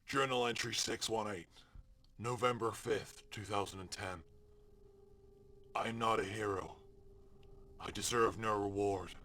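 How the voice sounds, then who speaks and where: An actor playing a resigned, self-loathing fugitive recording a journal in an audio drama, grave and deliberate. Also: male voice, lowish voice, steady tone, sharp tone, slight background noise. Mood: resigned, bleak.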